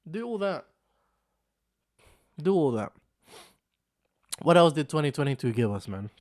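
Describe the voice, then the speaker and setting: A man's voice: a little high, very animated, measured pace. A young man from the Philippines, raised in London from his teens, hosting a casual solo vlog, rambling and repeating himself. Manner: rambling, repeats himself, frequent "you know what I'm saying". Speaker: vlog host. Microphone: camera microphone.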